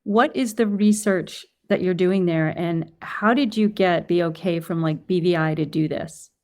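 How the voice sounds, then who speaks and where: A woman's voice: lowish voice, a little slow, darkish tone. A woman hosting an interview podcast who greets her guest, asks questions and affirms his answers. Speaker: podcast host.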